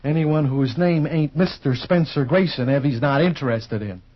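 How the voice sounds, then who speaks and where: An actor playing a plain-spoken, easily exasperated small-town husband in a 1950s radio soap, blunt, slangy and grumbling. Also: male voice, a little high, moderate pace, lightly acted. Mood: matter-of-fact. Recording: muffled 1950s radio recording.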